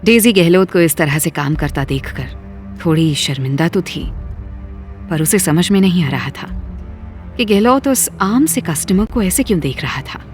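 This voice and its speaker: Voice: mid-range voice, lively intonation, moderate pace. Story narrator over faint music.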